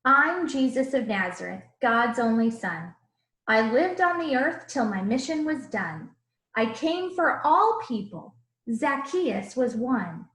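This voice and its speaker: A woman voicing a gentle, earnest Jesus who tells his own storybook tale in rhythmic rhyme. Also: on the high side, lively intonation, takes it slowly. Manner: rhythmic rhyming storybook delivery. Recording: roomy, echoing room sound.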